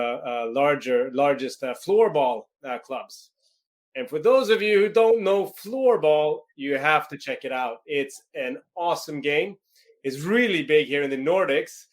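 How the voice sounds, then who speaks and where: A man in his forties, a company founder, introducing himself on a live stream with enthusiasm and many restarts. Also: a little high. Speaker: CEO and founder, co-author.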